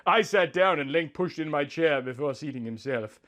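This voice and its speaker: A man reading a romantic story aloud in a dramatic, drawn-out, theatrical manner. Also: fairly high pitch, lively intonation, a bit bright. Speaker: narrator reading a fan story. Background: background music.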